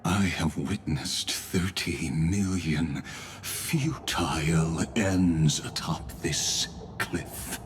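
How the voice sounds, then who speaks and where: An actor voicing a sinister, eloquent scholar-schemer in a game dub, speaking with theatrical, condescending courtesy and deliberate phrasing. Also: male voice, sits low, noisy background. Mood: solemn, smug. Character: sinister, eloquent, amused male scholar-schemer of a doomed world. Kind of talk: English game dub voice acting.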